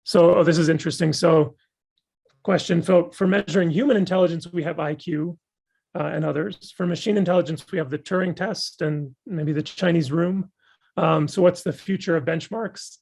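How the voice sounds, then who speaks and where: Hoarse man answering questions. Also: on the high side, fairly even intonation, normal speed, darkish tone. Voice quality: gravelly and husky. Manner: thoughtful, enthusiastic academic explaining. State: losing his voice. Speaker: guest speaker. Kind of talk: answering audience questions after a talk.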